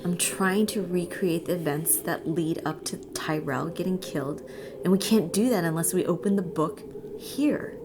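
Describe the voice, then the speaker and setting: A woman's voice: on the low side, normal speed, noisy background, close to an everyday voice. A woman playing a determined young occult student turned frightened captive in an audio drama. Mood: determined, tense. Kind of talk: audio drama acting and first-person narration.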